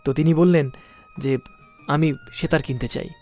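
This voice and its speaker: A man with an Indian Bengali accent and a fairly high voice tells stories as a radio host. His delivery is theatrical, stretching pauses for suspense and leaning on key words. Music plays under his voice. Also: fairly even intonation, warm tone. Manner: theatrical, with drawn-out suspenseful pauses and emphatic phrasing. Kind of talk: dramatic anecdotal storytelling for a music radio show.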